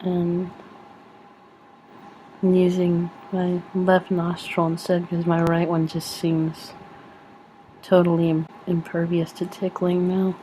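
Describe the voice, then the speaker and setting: A woman's voice: lowish voice, completely flat delivery, takes it slowly, long pauses, dark tone, slight background noise, low-quality recording. A young woman vlogging at home, rambling casually with a giggle, sniffly from sneezing. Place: at home in front of a screen.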